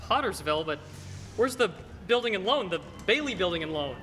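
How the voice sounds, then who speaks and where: A man's voice: sits high, big pitch swings, fairly quick, sharp tone, full character voice. A teenage man acting a bewildered, stammering, agitated family man in a radio play. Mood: agitated, disbelieving. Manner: stammering, flustered. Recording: noisy echoey hall stage recording.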